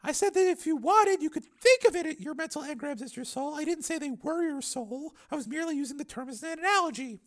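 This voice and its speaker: Man putting on a very high, anxious woman scientist. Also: lively intonation. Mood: flustered, defensive. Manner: halting, pleading, explanatory. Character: defensive, anxious woman scientist, insistent but uneasy.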